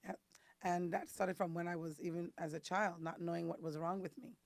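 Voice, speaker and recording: A woman from the Caribbean with a raspy, hoarse voice, talking as a guest and explaining things step by step with frequent ums. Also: on the low side, fairly even intonation, a little fast, dry recording. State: hoarse from detox. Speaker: guest, detox specialist. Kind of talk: casual interview talk.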